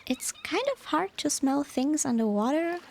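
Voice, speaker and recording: A woman playing a tender, nostalgic former mermaid and devoted wife in a soft, unhurried, half-whispered ASMR roleplay. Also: sits high, animated, vocal fry, slight background noise, heavily acted. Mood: wistful, homesick. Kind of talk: ASMR romantic roleplay, softly spoken.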